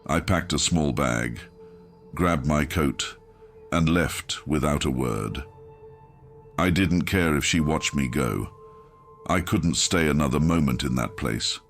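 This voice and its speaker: A man reading a scripted first-person horror story in a grim, measured, dramatic manner, as an audiobook narrator. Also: very deep voice, soft background music.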